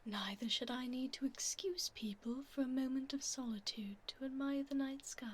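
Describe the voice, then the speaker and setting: Woman acting as aristocratic female vampire, highish soft whispery voice, slow, formal, haughty yet tender, ASMR roleplay, faint background noise. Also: close to an everyday voice. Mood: weary, lofty.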